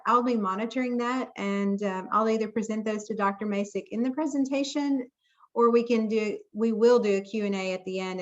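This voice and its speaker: A woman's voice: fairly high pitch, highs cut off. A woman from Mississippi with a Southern accent, hosting an online talk in a friendly way with frequent ums. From Jackson, Mississippi, US. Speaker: webinar moderator. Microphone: computer mic on a video call.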